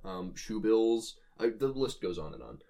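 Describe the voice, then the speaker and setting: A man's voice: lowish voice, sing-song, normal speed, creaky, warm tone. A man co-hosting a casual speculative discussion, explaining his ideas with enthusiasm as he reasons aloud. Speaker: podcast co-host.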